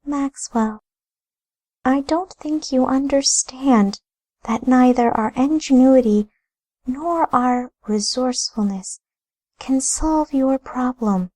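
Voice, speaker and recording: A woman's voice: high voice, takes it slowly, darkish tone, voice pushed up. A woman voicing a poised, scheming wife who speaks in a lilting, formal and cool manner. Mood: grave. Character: poised scheming wife and sister, grave and composed.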